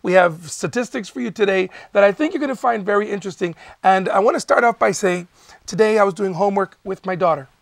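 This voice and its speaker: High-voiced man hosting a TV show. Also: fast, vocal fry, dry recording, clean studio sound, recorded from a distance. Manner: enthusiastic, playful, jokey. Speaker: TV show host. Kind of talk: excited talk show chat. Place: TV studio.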